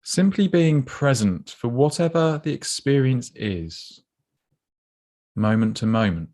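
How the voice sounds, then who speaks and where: A man leading a guided body scan meditation in a gentle, unhurried, instructive way, leaving pauses between phrases. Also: medium pitch, lively intonation.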